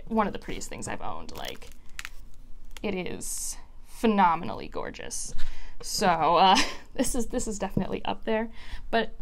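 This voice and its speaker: A woman in her twenties chatting casually to viewers as a book vlogger, rambling informally and thinking aloud. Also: a little high. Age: 20s. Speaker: book reviewer and video host. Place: small furnished room at home, indoors.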